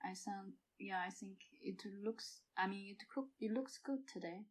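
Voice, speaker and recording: A woman improvising as a podcast guest, with halting, non-native phrasing. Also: mid-range voice. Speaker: podcast guest, amateur theatre performer. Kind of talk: improvised role-play and mock product pitching.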